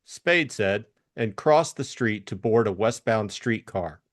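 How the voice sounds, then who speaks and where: A man's voice: fairly low pitch, animated, takes it slowly. A man reading scripted narration for an audiobook.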